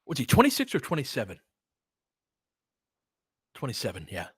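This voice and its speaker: A man delivers live basketball commentary in a medium-pitched voice. His calls come in short, clipped phrases mixed with informal, fan-like remarks. The sound is dry, with no room echo. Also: huge pitch swings, normal speed, breathy edge. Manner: clipped running phrases with casual fan-style asides. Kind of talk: live audio play-by-play commentary.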